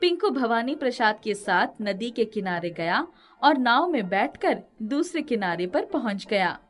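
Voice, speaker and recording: Female storyteller: raised pitch, lively swings, expressive reading of children's folk tales. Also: measured pace. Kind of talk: scripted children's story narration.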